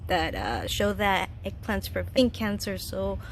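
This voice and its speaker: A woman's voice: mid-range voice, sing-song, a little slow, gravelly, slight background noise. A woman in her thirties from Chile, giving casual, earnest life advice in a home vlog, chatty and laughing often. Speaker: vlogger. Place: home garden.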